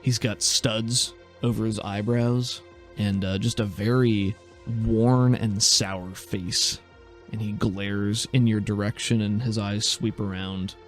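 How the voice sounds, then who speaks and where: A man runs a tabletop game. He tells things descriptively and informally, like a host talking to a player. Soft music plays behind him. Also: fairly low pitch, steady tone, takes it slowly. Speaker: game master and podcast host. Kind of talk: tabletop game narration, conversational.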